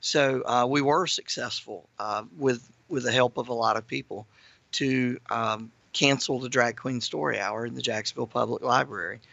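A man with a hoarse voice, a guest on a radio show, talking casually with many fillers. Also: mid-range voice, steady tone, moderate pace, bright, forceful tone, no room sound, lo-fi sound. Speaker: guest, ministry founder. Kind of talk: radio interview.

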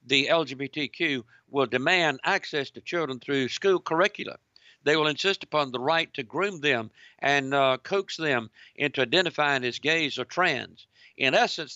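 Creaky, bright-voiced talk radio host. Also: male voice, fairly high pitch, no room sound, highs cut off. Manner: preacherly, addresses listeners as ladies and gentlemen. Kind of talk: talk radio commentary and interview.

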